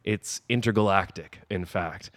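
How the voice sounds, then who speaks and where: A man's voice: low-pitched, a little slow, fairly bright tone, dry recording, clean studio sound. A man being interviewed on a podcast as a wrestler, talking in a cocky, boastful heel manner. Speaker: wrestler guest.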